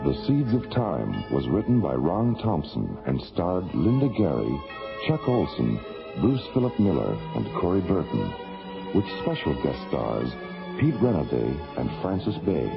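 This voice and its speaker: A man's voice: deep voice, very animated, unhurried, creaky. A male announcer reading closing credits in a formal style for a radio show. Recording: dull old radio broadcast under music.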